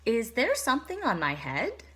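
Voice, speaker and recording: A woman's voice: on the high side, wildly swooping pitch, normal speed, a bit bright, husky, full character voice. A woman voicing an anxious male elephant in a storybook, with fretful, exclaiming questions. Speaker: storybook character.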